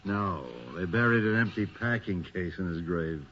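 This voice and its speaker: An actor playing an impatient, sceptical police detective with a blunt, sardonic manner in a radio drama. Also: male voice, low-pitched, normal speed, a bit bright. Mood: dismissive. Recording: muffled, hissy old radio recording.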